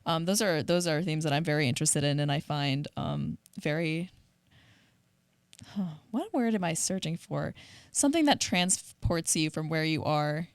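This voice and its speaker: A woman co-hosting a casual discussion, speaking reflectively in measured phrases with pauses. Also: deep voice, clear, resonant tone, no room sound. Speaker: podcast co-host. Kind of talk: casual discussion of audio dramas.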